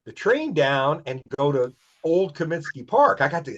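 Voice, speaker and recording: A man's voice: fairly high pitch, sing-song, moderate pace. Dull-sounding recording of a sixty-year-old man. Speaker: podcast co-host. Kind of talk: casual chat, reminiscing.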